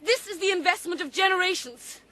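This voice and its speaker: A woman's voice: very high voice, fairly quick, bright, forceful tone. A woman playing a proud, wronged aristocratic lady, imperious and indignant, in a period radio drama. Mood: scornful, defiant.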